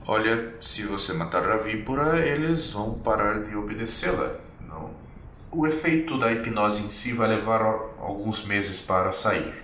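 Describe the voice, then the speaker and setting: A man, running a tabletop game in a measured, explanatory way. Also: on the low side, pushed and bright, echoey room, far from the mic, slight background noise. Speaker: game master. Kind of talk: narrating a tabletop game and voicing a vampire.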